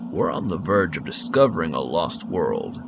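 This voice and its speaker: An actor playing a cold, commanding, secretive expedition boss in an audio drama, curt and controlled in manner. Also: male voice, a bass voice, very animated, moderate pace, creaky, noisy background, heavily acted. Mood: confident, measured.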